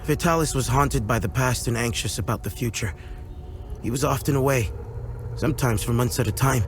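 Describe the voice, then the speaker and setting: An actor playing a guarded, earnest weapons craftsman in a video game, speaking in a measured, reflective way. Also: mid-range voice, soft background music, lightly acted. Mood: somber. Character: guarded, earnest male weapons craftsman, a loyal former apprentice. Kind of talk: video game dialogue acting.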